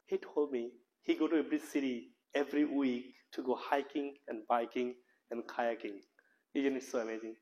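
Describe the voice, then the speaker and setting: A man's voice: mid-range voice, poor audio. A man with a Bangladeshi accent giving an informal health lecture, speaking earnestly in loose, repetitive English phrasing. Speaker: professor and health practitioner giving a talk.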